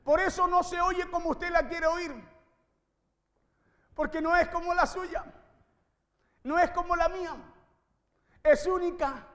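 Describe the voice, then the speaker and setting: A male preacher delivers an impassioned sermon in a very high, raised voice. He exhorts his listeners directly, hammering phrases home through repetition. Long reverb from the hall trails his words. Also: steady tone, unhurried, pauses often, sharp tone. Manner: exhorting, repeats phrases for emphasis. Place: large reverberant room, preaching to a congregation.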